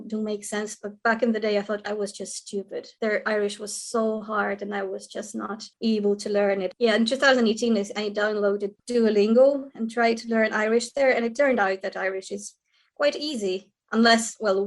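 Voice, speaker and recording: A woman's voice: medium pitch, fairly even intonation. A young Ukrainian woman with a Ukrainian accent, a lawyer and historian speaking earnestly as a podcast guest, often restarting her sentences. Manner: quick, earnest, with many restarts. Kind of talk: podcast interview.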